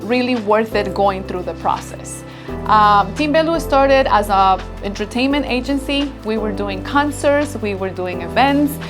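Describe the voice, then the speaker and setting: Woman founder, 30s, from Ecuador, warm grateful interview talk, music under her. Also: mid-range voice. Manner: earnest, grateful storytelling. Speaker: business founder. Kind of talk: interview answers. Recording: background music bed.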